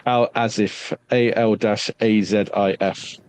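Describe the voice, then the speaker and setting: A man narrating a tabletop horror game as game master, deliberate and pausing for suspense. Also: lowish voice, steady tone, a little mellow.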